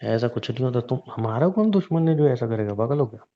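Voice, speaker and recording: A man's voice: mid-range voice, animated, fast talker, highs cut off, close to an everyday voice. A man playing a teasing, exasperated husband in a casual, conversational domestic audio drama. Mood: scolding. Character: teasing, exasperated husband bickering with his injured wife. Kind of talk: improvised-sounding domestic audio drama, casual Hindi banter.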